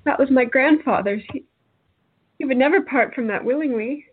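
A woman's voice: high-pitched, moderate pace, breathy edge. A woman playing an anxious, sceptical, proper young lady in a radio play. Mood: emotional. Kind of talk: hobby radio play acting. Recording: dull lo-fi audio.

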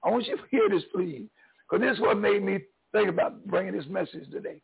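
Hoarse older Southern pastor preaching. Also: male voice, sits high, a little fast, narrow, tinny audio. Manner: preacherly, swings between loud and soft. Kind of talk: encouraging sermon.

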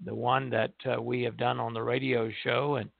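An older man hosts a radio talk show in a gravelly voice. He sounds close and dry, but the line is narrow and phone-like. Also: mid-range voice, level tone, normal speed, dry recording. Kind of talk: reading an article aloud and casual chat.

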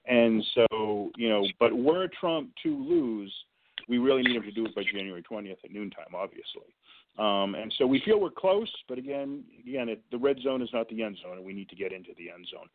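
A man's voice: on the low side, expressive, a little fast. Emphatic man on a tinny phone line. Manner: emphatic, talks in sports comparisons. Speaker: guest advocacy director. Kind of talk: phone-in radio interview.

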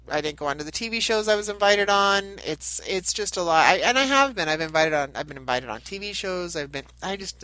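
A woman with a nasal voice that a lingering head cold makes stuffier still, pitched fairly low for her. She wanders and restarts her sentences in a chatty spiritual talk. The sound is dry and close. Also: fairly bright tone, no echo, low-quality recording. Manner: meandering, chatty, with many restarts. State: getting over a head cold. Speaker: spiritual reader and channel host. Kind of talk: casual spiritual talk to camera.

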